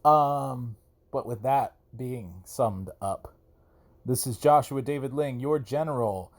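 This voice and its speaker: A man with a mid-pitched voice hosts a solo podcast in a casual, conversational way. He talks emphatically and often repeats words to drive a point home. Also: slow. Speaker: podcast host.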